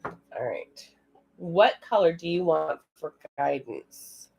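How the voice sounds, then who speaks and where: Raspy-voiced woman doing live readings. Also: low-pitched, animated, slow pace, darkish tone, dull audio, slight background noise. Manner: chatty, talks to viewers in the chat. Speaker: psychic reader. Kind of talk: live-stream card reading.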